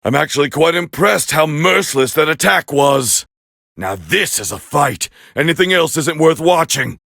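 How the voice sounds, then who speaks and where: A man voicing a proud, scornful alien warrior prince as battle commentary in an anime fighting game, with a harsh, gravelly growl and a haughty, clipped delivery. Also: fairly high pitch, expressive, normal speed, sharp tone, dry recording, studio quality, exaggerated voice. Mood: grudgingly impressed.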